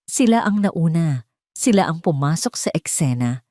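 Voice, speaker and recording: A woman's voice: deep voice, animated, moderate pace, dark tone, no room sound, clean studio sound. A woman voicing a scheming, self-justifying female villain in an audio story, in a resolute inner monologue. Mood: cold, defensive.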